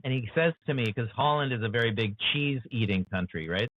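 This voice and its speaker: A man's voice: medium pitch, lively intonation, brisk, slight background noise. A man with an American accent, speaking as an author in an earnest interview discussion, full of passion and "you know" fillers. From United States. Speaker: author guest. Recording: dry, tinny phone-like call audio.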